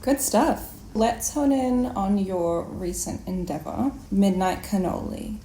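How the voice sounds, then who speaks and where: A woman in her twenties hosting a conversational interview in a chatty, playful way with expressive phrasing. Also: medium pitch, slow pace. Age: 20s. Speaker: podcast host and interviewer. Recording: echoey room, faint background noise.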